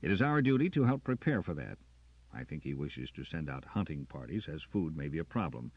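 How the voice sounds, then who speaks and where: A man's voice: a bass voice, very animated, fairly quick, breathy edge, no room sound, lo-fi sound, full character voice. A man voicing a weary, evasive male arms officer in an audiobook, speaking in an earnest, persuasive way.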